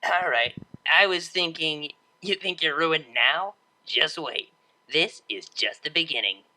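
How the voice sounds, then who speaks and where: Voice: medium pitch, slow, sharp tone, low-quality recording. A performer voicing a playful slacker unicorn mare DJ with a casual, teasing way of talking, in an audio story. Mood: sly.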